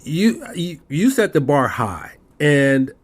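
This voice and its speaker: Man, podcast host, admiring, chatty, fairly high voice. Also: sing-song, slow pace, breathy edge. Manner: admiring, chatty, laughs easily. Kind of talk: podcast interview.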